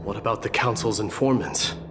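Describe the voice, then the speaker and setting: Actor as a low-voiced, proud warrior prince. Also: male voice, brisk, music in the background, close to an everyday voice. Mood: grave. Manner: formal, curt, commanding. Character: proud warrior crown prince, stern, terse, imposing. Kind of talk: video game voice acting.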